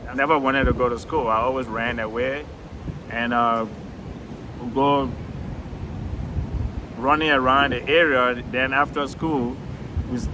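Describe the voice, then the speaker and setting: An older man from Liberia with a Liberian accent, a retired DJ telling his life story in a relaxed interview, often chuckling and restarting phrases. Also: medium pitch, measured pace, pauses often, background noise.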